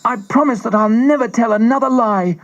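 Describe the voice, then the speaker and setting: A man's voice: very high-pitched, fairly quick, highs cut off, music in the background, pitched above the performer's own voice. A man voicing a young wooden puppet boy, earnest and pleading, in a storybook reading.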